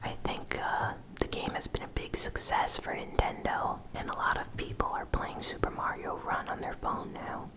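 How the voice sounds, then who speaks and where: A woman hosting an ASMR gaming news recap in a breathy whisper, with soft, unhurried, informal commentary. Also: poor audio.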